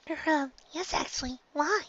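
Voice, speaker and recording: Shy winged mare voiced by a storybook reader: very high, quiet, hesitant. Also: moderate pace, no room sound, muffled recording. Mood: nervous. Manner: soft, hesitant, high-pitched. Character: timid, gentle young female winged pony.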